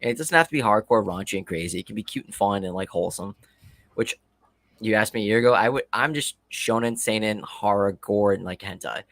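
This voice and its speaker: Fast-talking, profane man in his thirties. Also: fairly low pitch, a bit bright, dry recording. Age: 30s. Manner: rapid, profane, storytelling. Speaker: livestream guest. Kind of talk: casual chat.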